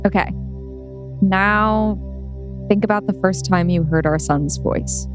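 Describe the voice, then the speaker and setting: A woman playing a warm, playful wife who is a brain researcher, in a script read-through. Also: mid-range voice, takes it slowly. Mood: gentle, matter-of-fact. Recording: music playing behind.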